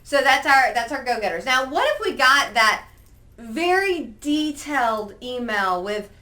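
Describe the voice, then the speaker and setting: Woman, host, bright tone, fairly high pitch, conversational, prompting, recapping key points. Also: expressive, a little slow. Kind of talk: conversational interview on business tips.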